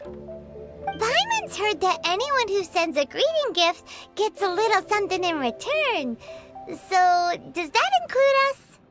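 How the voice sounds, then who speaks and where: Tiny game fairy, extremely high squeaky voice, bubbly, swooping intonation, excitable, music behind. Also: female voice, measured pace, heavily acted. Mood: greedy, excited. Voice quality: squeaky, childlike. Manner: bubbly, exclamatory, refers to self in third person. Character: tiny excitable floating fairy companion, childlike, greedy and cheerful. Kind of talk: video game character acting.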